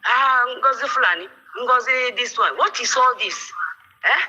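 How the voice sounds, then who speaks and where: Halting woman caller on a phone line. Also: on the high side, bright, forceful tone, slightly rough. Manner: halting, many restarts, indignant. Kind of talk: phone-in comment.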